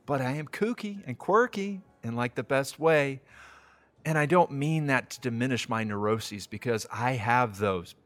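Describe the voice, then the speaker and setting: A man's voice: mid-range voice, a little slow, natural voice. Actor as an earnest, self-doubting young man. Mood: quirky, flustered. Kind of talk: audio drama acting.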